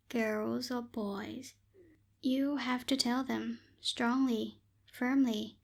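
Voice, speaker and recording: A young woman voicing a bossy but secretly caring tsundere witch in a close-miked ASMR roleplay, softly scolding and a little hesitant. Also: fairly high pitch. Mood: protective, matter-of-fact. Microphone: close binaural microphone.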